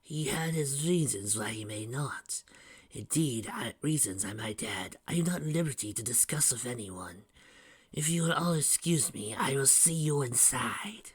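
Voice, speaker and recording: A man voicing a solemn, secretive lynx priest with a quiet, breathy voice and a French-style accent. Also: mid-range voice, expressive, moderate pace, voice pushed up.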